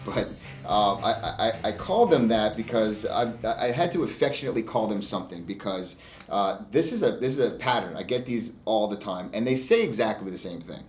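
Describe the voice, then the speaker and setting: An American man, a lawyer presenting his own segment in an emphatic, defiant, informal monologue with frequent stops and repeats. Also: lowish voice. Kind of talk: informal monologue to camera, reading out e-mails. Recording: echoey room.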